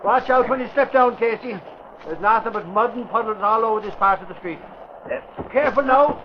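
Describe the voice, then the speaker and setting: A man's voice: high voice, brisk, lightly acted. A man playing a fussy, solicitous sidekick in an old radio drama. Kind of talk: 1940s radio acting. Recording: muffled old radio broadcast with faint hiss.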